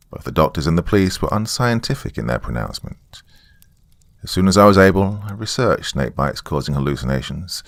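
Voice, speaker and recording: A man's voice: deep voice, creaky, no room sound. A man giving a dramatic first-person reading of a scripted story. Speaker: horror story narrator.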